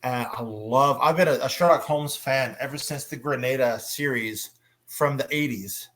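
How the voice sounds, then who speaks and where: A man with a mid-pitched voice hosts a casual fan discussion. He is enthusiastic and talkative, pausing often as he gathers his thoughts and hands questions to the others. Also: fairly bright tone. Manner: enthusiastic, halting with frequent pauses. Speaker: panel host.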